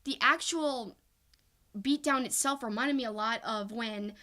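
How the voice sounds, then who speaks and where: A woman's voice: fairly high pitch, a little slow, pushed and bright. A woman co-hosting a talk podcast, giving casual, opinionated sports commentary in an emphatic, fan-like way. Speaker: podcast co-host.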